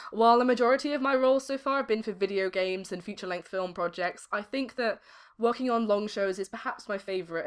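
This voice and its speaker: A British woman in her late teens giving articulate, formally worded interview answers as a voice actor. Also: medium pitch. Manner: articulate, formal wordy phrasing.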